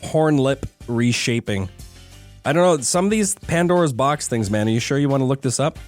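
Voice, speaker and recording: A man's voice: medium pitch, animated, normal speed, soft background music. A man co-hosting a morning radio show with casual, chatty banter. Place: radio studio. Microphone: studio broadcast mic.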